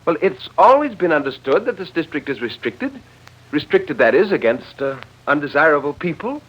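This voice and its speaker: An actor playing a stiff, formal meeting leader who talks evasively and haltingly, in 1940s radio drama style. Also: male voice, on the high side, very animated, pitched above the performer's own voice. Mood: resentful, evasive. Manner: formal, euphemistic, hesitant. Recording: dull old radio recording.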